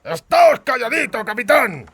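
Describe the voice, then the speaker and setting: Croaking, shrill bandit chief. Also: male voice, big pitch swings, normal speed, a bit bright, full character voice. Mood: menacing, bossy. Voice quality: croaking, raspy. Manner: crude, threatening, exclamatory. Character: cruel, frog-like bandit chief, raging and croaking. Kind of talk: dramatised audiobook acting.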